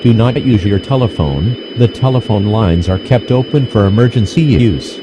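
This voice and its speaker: A man voicing a stern, impersonal emergency alert announcer in a formal, measured official style. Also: lowish voice, animated, natural voice. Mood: grave, official. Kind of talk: scripted emergency broadcast announcement. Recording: dull, noisy radio-style broadcast.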